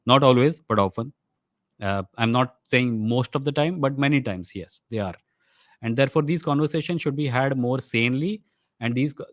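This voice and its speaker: A young man with an Indian accent, his first language Odia, hosts a live Q&A and rattles through viewer questions at a rapid clip. His speech is conversational and mixes Hindi phrases into English. The sound is dry, with no room echo. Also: mid-range voice. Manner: quick, conversational, slips into Hindi mid-sentence. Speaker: host of a live stream. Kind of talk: livestream Q&A, reading viewer questions aloud.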